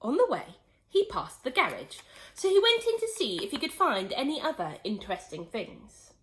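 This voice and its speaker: Expressive teacher reading to kids; a woman with a bright, bouncy, fairly high delivery. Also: normal speed, slight background noise, rough recording. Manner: expressive, animated read-aloud. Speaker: storyteller teacher. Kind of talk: children's story reading.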